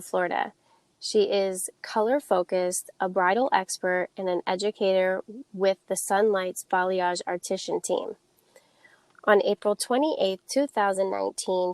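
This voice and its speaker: Woman podcast host, upbeat and eager, medium pitch, dry close sound. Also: darkish tone, no room sound. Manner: upbeat, enthusiastic. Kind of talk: scripted intro, then casual interview.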